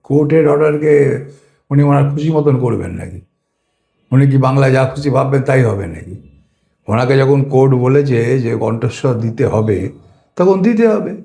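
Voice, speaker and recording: A man's voice: medium pitch, expressive, normal speed, creaky, dark tone. A man with a West Bengal Bengali accent giving political commentary in an interview, indignant and combative, firing repeated rhetorical questions. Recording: echoey room, music underneath.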